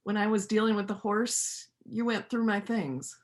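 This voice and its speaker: A woman's voice: mid-range voice, steady tone, normal speed, close to an everyday voice. A woman acting a lonely, plainspoken veterinarian and painter in a church reader's theater play. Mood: earnest, subdued.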